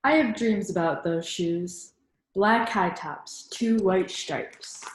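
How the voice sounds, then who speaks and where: A woman's voice: medium pitch, reverberant, a little hiss or hum, poor audio. A woman reads a children's picture book aloud like a teacher, in an instructive manner that invites young listeners to think along. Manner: instructive, pausing to prompt listeners with questions. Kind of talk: storybook read-aloud with questions to young listeners.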